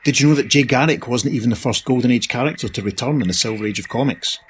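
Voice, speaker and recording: A man's voice: medium pitch, quick pace, a little hiss or hum. A man reading a scripted podcast promo as a co-host.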